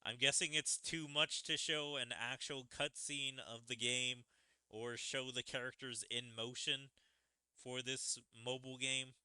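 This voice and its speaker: A man's voice: mid-range voice, steady tone, unhurried, pushed and bright, smooth, no room sound. A man streaming a video game, giving casual running commentary and speculating aloud as he plays. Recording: game music behind. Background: video game music.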